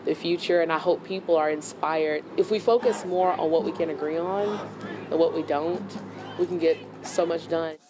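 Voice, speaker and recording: Low-voiced young woman activist in interview. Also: normal speed, low-quality recording. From Chattanooga, Tennessee, United States. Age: 30s. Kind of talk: conversational interview answers. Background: music bed.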